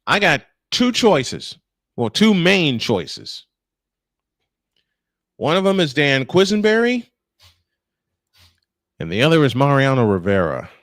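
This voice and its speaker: Guy doing live baseball play by play, clipped announcer phrasing, really dry sound, chats casually between pitches. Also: very animated, slow, pauses often, fairly bright tone, dry recording.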